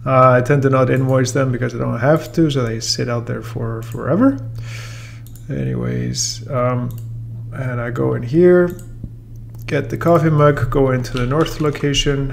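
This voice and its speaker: A man in his thirties with a gravelly edge, walking through software in a laid-back, explanatory way with many um's and uh's. His pitch sits in the middle range, and a faint hiss sits under the recording. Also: a little slow. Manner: relaxed and explanatory, with many fillers. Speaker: software trainer. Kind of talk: casual screen-recorded software tutorial. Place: room with a brick wall and whiteboard, then voice-over on screen recording.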